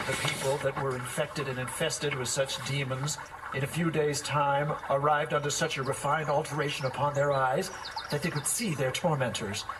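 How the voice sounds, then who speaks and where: An actor playing a timid, superstitious schoolmaster in an audio drama, speaking in a formal, wordy, nervous way. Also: male voice, mid-range voice, steady tone, normal speed, background noise, lightly acted. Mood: grave, absorbed. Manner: bookish, formal, wordy, jittery.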